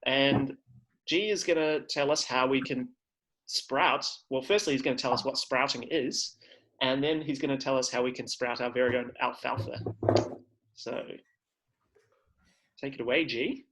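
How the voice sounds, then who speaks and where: A man's voice: mid-range voice, normal speed, pauses often, a bit bright, poor audio. A man hosts a children's livestream over a video call. He is upbeat and encouraging and uses many fillers. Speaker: livestream host. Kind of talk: presenting to children.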